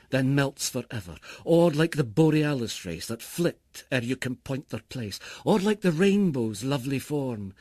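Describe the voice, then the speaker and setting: A man's voice: mid-range voice, husky, dry recording, studio quality. Scottish man dramatically reciting verse. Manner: theatrical, expressive storytelling. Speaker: poetry reader.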